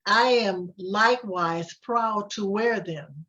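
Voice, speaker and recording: A woman reading the part of a proud, kindly grandmother who gently explains things to children in a storybook. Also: medium pitch, slow, lo-fi sound. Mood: proud, gentle. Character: proud, kindly Ghanaian grandmother visiting a classroom.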